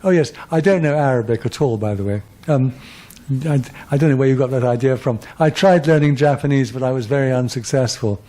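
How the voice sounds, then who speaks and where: A man's voice: mid-range voice, fairly quick, warm tone. A man in his seventies, a guest lecturer answering audience questions in a wry, rambling, self-deprecating way. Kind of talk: conversational lecture Q&A.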